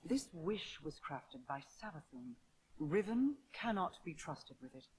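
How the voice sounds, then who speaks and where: A woman playing a regal, wary queen in a video game, speaking in a grave, measured, commanding way. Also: low voice, sing-song, darkish tone, slight background noise, rough recording. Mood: urgent resolve. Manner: measured, solemn, stately. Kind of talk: dramatic game cutscene dialogue.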